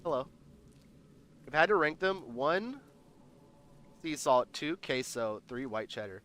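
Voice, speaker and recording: A man's voice: mid-range voice, animated, measured pace, a bit bright. Congested chatty male livestreamer. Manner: rambling, chatty, reads chat aloud. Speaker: livestream host. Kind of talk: casual chat with live chat.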